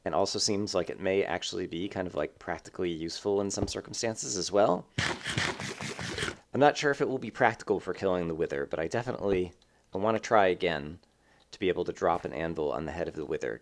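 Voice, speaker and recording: A man with a somewhat low voice talks through his gameplay in a loose, rambling way, filling pauses with ums and likes. His voice sounds a little congested, as if he has a slight cold. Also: creaky. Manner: rambling, thinking aloud with many fillers. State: slightly stuffed up. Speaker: let's play host. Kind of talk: casual gameplay commentary.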